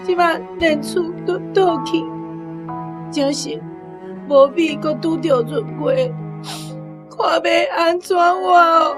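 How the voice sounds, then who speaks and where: Wailing, high-pitched despairing village woman. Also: steady tone, music in the background. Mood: tearful despair. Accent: Taiwanese. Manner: wailing, drawn-out, tearful lament. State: crying. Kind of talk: Taiwanese radio drama acting.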